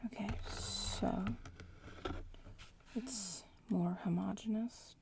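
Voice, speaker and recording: Bratty witch student voiced by a woman, medium-pitched, breathy ASMR whisper, unhurried teasing explanations. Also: background noise. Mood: instructive, focused. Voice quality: breathy whisper, mostly unvoiced. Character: bratty young witch in training, sassy classmate.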